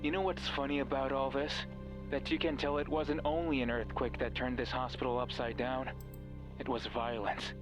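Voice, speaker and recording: A sinister, mysterious male voice from a horror game, acted out by a man, at a middling pitch with music clearly playing behind it. He is deliberate and insinuating, a false friend who manipulates and taunts. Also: level tone, dull audio, close to an everyday voice. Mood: taunting. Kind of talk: dramatic horror game voice acting. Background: background music.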